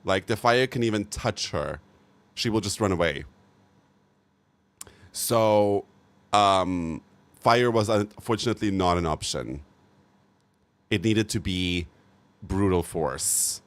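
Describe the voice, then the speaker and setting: Male streamer, lowish pitch, loose animated chat with his viewers, cursing and laughing freely. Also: takes it slowly, long pauses. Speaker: live streamer. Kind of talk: casual chat with live viewers.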